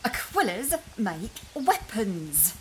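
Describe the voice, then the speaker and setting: A woman's voice: medium pitch, sing-song, slow pace, a little hiss or hum. A woman playing a haughty, scornful middle-aged mother of a rich family in an audio drama, cutting and imperious, in an echoey hall with music behind her. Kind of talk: science fiction audio drama acting.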